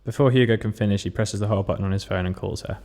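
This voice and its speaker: A man's voice: on the low side, steady tone, rapid-fire, darkish tone, a little hiss or hum. A man narrating scripted stage directions at a table read.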